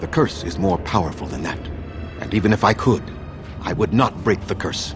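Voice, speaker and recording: An actor playing an ancient, unforgiving elven mage in a video game, speaking in a stern, formal and scornful manner. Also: male voice, low voice, animated, moderate pace, over music. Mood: bitter, scornful.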